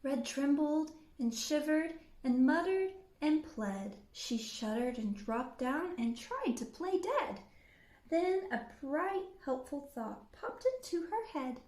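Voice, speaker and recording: A woman's voice: high-pitched, lively intonation, takes it slowly, a little mellow, reverberant. A woman hosting storytime, reading a rhyming children's story aloud. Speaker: storytime host.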